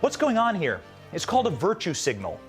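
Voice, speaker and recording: A man's voice: high-pitched, wildly swooping pitch, a little fast, faint music behind. A man delivering a scripted political monologue as a commentator.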